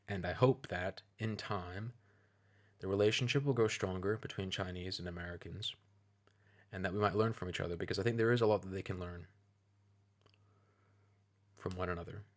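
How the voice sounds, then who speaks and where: A man giving an opinionated video commentary, speaking in a measured, deliberate and persuasive way. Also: low voice.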